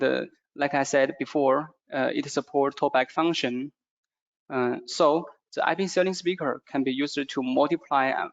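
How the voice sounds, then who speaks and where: A man who is a non-native English speaker gives a product presentation in a halting way, with frequent hesitations. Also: mid-range voice, muffled recording. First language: not English.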